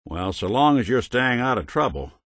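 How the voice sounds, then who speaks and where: A man's voice: medium pitch, big pitch swings, moderate pace, no echo, lightly acted. An actor playing a world-weary, wry robot detective in a video game, with dry, sardonic, folksy tough-guy phrasing. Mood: wry, easygoing.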